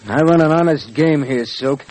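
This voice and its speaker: An actor playing a tough, commanding, self-assured saloon boss in an old Western radio drama. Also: male voice, on the high side, moderate pace, a little mellow, natural voice. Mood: stern. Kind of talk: 1940s Western radio acting. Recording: noisy old radio transcription.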